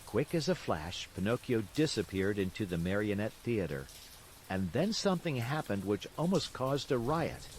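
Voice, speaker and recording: A man reading a bedtime story as an expressive storyteller, in scripted narration. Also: lowish voice, normal speed, a little hiss or hum. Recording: steady rain sounds behind the voice. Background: rain.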